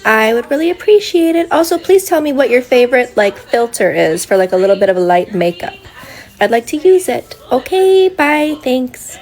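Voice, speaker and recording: A woman making excited, polite requests to her followers in a chirpy tone. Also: fairly high pitch, moderate pace.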